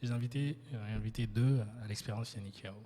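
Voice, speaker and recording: Husky-voiced man hosting an interview. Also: lowish voice, fairly quick, dark tone. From Ivory Coast. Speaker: podcast host. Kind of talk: casual interview. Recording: long hall reverb.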